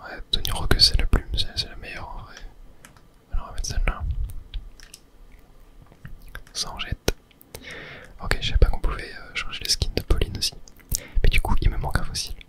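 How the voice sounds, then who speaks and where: A man whispering casual ASMR gameplay commentary close to the microphone, breathy and muttering to himself and viewers. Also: normal speed, pauses often. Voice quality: whispered, breathy, mostly unvoiced. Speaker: game streamer, ASMR video host.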